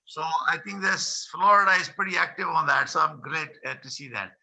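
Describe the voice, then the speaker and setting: Bright, high-pitched man hosting an online meeting. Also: fairly quick, gravelly. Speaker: host of an online conference. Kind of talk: moderating a video meeting. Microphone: video call.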